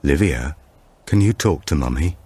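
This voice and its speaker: An anxious, grieving father in an audiobook thriller, acted by a male reader. The voice is low and a little husky, quietly and carefully pressing with questions. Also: lively intonation, moderate pace, full character voice. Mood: anxious, insistent. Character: anxious, grieving young father, gentle but insistent.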